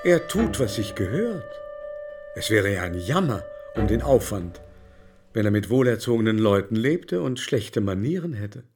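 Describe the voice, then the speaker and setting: Pompous baron, condescending, lowish swooping voice, music behind, radio play. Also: male voice, sing-song, moderate pace. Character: condescending aristocratic baron, proud patron of a protégé. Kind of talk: German radio drama acting.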